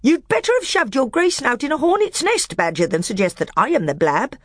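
A man's voice: very high voice, sing-song, a little fast, dry recording, studio quality, pitched above the performer's own voice, exaggerated voice. A man voicing an angry, bitter male dwarf who snarls and accuses.

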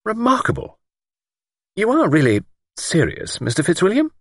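A man's voice: high voice, huge pitch swings, normal speed, no room sound, pitched above the performer's own voice, exaggerated voice. A man voicing a middle-aged country doctor who is dry, skeptical and condescending.

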